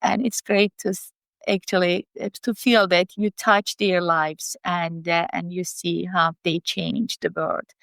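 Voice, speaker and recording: A woman's voice: fairly low pitch, flat delivery, measured pace, vocal fry, a bit bright, dry recording. A woman in her fifties or older with a Turkish accent, answering interview questions as a college dean. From Turkey. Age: 50s or older.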